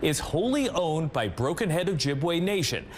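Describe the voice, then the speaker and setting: A man's voice: a little high, sing-song, normal speed, slight background noise. A man with a Canadian accent reading scripted news as a television anchor. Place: TV news studio.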